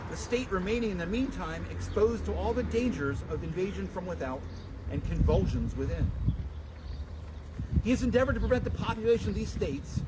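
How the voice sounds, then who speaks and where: A man giving a dramatic, declamatory public reading of a historical document in deliberate oratory, outdoors. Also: high voice. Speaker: public reader at a commemoration. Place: outdoors at a memorial site. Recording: noisy outdoor live stream, traffic.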